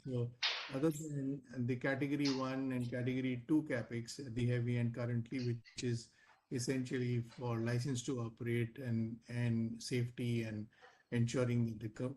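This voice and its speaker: A man speaking as a finance executive, answering analysts formally in measured, halting phrases. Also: medium pitch, mellow. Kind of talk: answering analyst questions on an earnings call.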